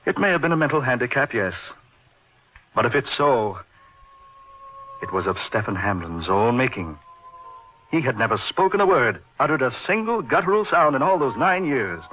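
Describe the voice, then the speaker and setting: An actor playing an intense middle-aged surgeon in an old-time radio horror drama, recounting his uncanny friend with deliberate, emphatic repetition. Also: mid-range voice, very animated, close to an everyday voice. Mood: grave, reminiscing. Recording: dull lo-fi radio recording, music behind.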